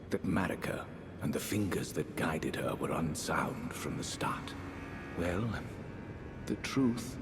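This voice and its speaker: An actor playing a serene, unsettling scholarly old count in a fantasy game, genteel and theatrical, savouring ornate words. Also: male voice, low-pitched, roomy sound, heavily acted. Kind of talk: scripted video game drama, ornate formal speech.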